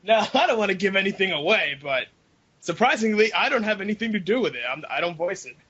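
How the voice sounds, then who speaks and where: A man co-hosting a casual group podcast, chatting in a jokey, animated way and swearing freely. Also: high voice, brisk, husky. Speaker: podcast co-host. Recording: dull lo-fi voice chat.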